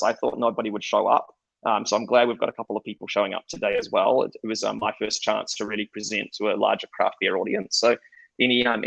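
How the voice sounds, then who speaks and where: A man's voice: mid-range voice, gravelly, fairly bright tone, no echo, rough recording. A middle-aged man with a New Zealand accent giving a conference talk in a conversational, self-deprecating way with frequent restarts. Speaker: conference speaker. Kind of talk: conference presentation.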